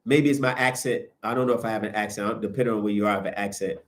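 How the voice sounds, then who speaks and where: A man hosting a livestream, preaching in a casual, animated way and answering viewer comments as he goes, with a sniffly nose. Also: lowish voice, racing. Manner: animated, preachy, rises sharply when emphatic. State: sniffling from sinuses. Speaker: livestream host.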